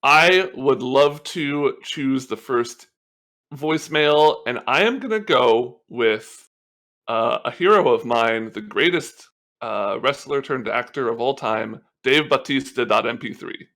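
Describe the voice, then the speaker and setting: A man guesting on a podcast, riffing playfully in casual, joking banter with a deadpan streak. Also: mid-range voice, takes it slowly.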